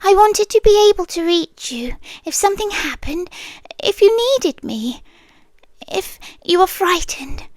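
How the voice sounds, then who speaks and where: A possessive monster girlfriend, played by a woman, speaks in a high British voice. She goes slowly and softly, often sinking into a whisper, with obsessive, protective devotion. It is an ASMR roleplay, recorded close and very dry. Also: animated, dry recording. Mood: pleading devotion. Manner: slow, hushed, often dropping into whispers. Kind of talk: ASMR roleplay, intimate soft-spoken monologue. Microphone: close ASMR microphone, dry sound.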